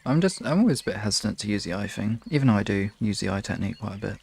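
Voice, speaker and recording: A man's voice: on the low side, animated, very fast, gravelly, darkish tone, breathy edge. A man chatting casually on a live stream in a hesitant, rambling, self-questioning way, as host of relaxation sessions. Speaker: hypnosis ASMR streamer.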